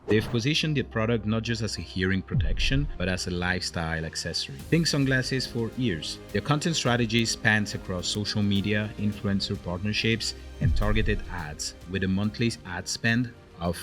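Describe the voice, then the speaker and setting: A man with a very low voice co-hosts a podcast, reading brisk scripted narration and chiming in with short replies. Faint music plays quietly behind him. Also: animated. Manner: brisk presenter delivery, short back-channel replies in chat. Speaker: podcast co-host. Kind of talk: scripted narration and casual chat.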